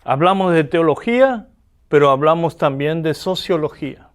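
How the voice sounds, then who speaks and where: A man's voice: fairly high pitch, expressive, moderate pace, smooth. A man from Argentina with an Argentine accent, giving a classroom lecture and often asking the students rhetorical questions. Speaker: seminary lecturer.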